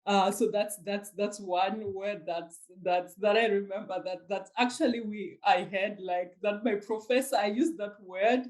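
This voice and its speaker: A woman's voice: mid-range voice, fairly even intonation, measured pace, hardly any pauses. A woman from Zimbabwe with a Zimbabwean accent, recalling a story hesitantly with many restarts. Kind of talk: casual interview answer.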